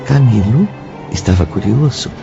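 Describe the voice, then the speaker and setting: An actor playing a solemn, theatrical narrator telling a radio drama in a slow, dramatic way. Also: male voice, on the low side, animated, creaky, lo-fi sound, over music. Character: solemn, theatrical storyteller of the spirit world.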